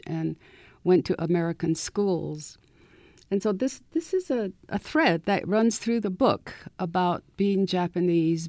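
A woman in her eighties from southern California, telling her story slowly and reflectively as a guest on a radio interview. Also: fairly low pitch, creaky, warm tone, no echo, studio quality. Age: 80s. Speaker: author and guest.

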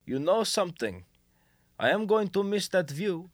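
Guy doing a meek office clerk, middle-aged, kinda high voice that bounces around, comedy radio play. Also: big pitch swings, moderate pace, no room sound, clean studio sound. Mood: wistful. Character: mild, baseball-mad middle-aged Soviet government clerk, wistful. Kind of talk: comic radio play acting.

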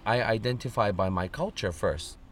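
A man from Oahu giving a measured, earnest personal account as a radio guest. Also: fairly low pitch, fairly bright tone. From Oahu, Hawaii. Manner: measured, earnest, lists things in series.

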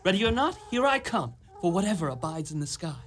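A woman acts out an eager little boy in a radio drama. The delivery is lively and swooping, earnest and a touch theatrical, full of pluck. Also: on the low side, quick pace. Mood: resolute. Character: small boy, eager, plucky, hungry adventurer. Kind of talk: radio play acting.

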